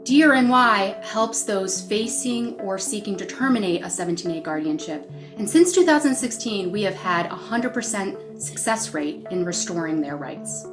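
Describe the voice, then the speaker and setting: A woman advocate gives a firm, earnest scripted statement. Music plays clearly beneath her voice. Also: mid-range voice, animated, moderate pace, hardly any pauses.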